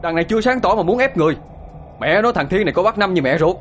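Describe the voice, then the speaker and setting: A man voicing a hot-headed, fiercely loyal young man who shouts and curses in defiance. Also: sits high, expressive, very fast, slight background noise, pitched above the performer's own voice. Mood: furious.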